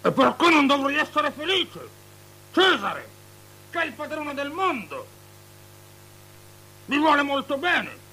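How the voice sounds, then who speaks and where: An actor playing a capricious, lustful, superstitious tetrarch in avant-garde radio theatre, declaiming and lurching between outbursts and breathy murmurs. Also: male voice, extremely high pitch, huge pitch swings, takes it slowly, pauses often, pushed and bright, exaggerated voice. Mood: agitated boasting. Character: capricious, lustful, superstitious male tetrarch, unstable and self-pitying. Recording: noisy, humming old radio drama recording.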